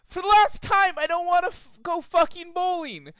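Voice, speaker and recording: A man's voice: very high voice, normal speed, a bit bright, dry recording, voice pushed up. A man voicing a scornful, foul-mouthed female pony music snob, whining and stammering with indignation. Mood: exasperated.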